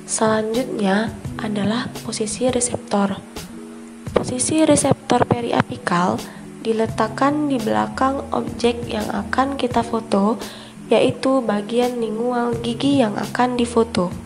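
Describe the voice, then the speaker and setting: A woman's voice: fairly high pitch, steady tone, measured pace. A young woman reading scripted instructional narration, one step after another. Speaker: student demonstrating dental radiography procedure. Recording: music clearly behind the voice. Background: background music.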